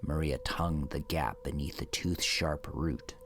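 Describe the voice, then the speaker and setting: A man narrating a horror story in a dramatic, hushed and deliberate manner with an ominous tone. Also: extremely low pitch, steady tone, noisy background.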